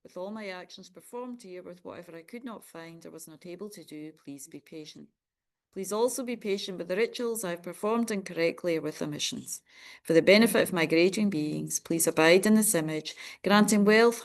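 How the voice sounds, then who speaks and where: A woman Buddhist nun leading a prayer ceremony for an online group, reciting in a steady, measured way and chatting warmly with participants. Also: on the low side. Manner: friendly, chatty greeting of participants.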